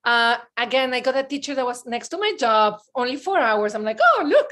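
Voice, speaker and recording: A woman in her forties, born in Belgium, a podcast guest telling lively stories and acting out her past self in excited bursts. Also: on the high side, a little fast. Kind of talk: casual interview chat.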